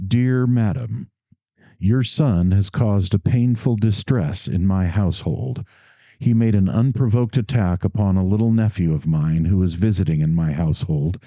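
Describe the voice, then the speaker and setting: A man voicing a pompous older male neighbor, reading out a formal complaint letter slowly and indignantly. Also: low voice, dark tone, no room sound.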